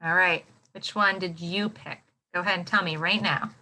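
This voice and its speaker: A woman's voice: on the low side, steady tone, bright, forceful tone, low-quality recording. A woman teacher leading an interactive read-aloud lesson for young children, encouraging them with questions and speaking with exaggerated expressiveness. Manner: encouraging, prompting with questions and pausing for answers. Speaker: elementary school teacher.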